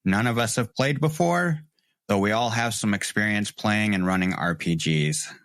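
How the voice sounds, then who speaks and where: A man's voice: lowish voice, moderate pace, fairly bright tone, no room sound. Easygoing man hosting, dry close sound. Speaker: game master and host. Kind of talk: casual podcast intro.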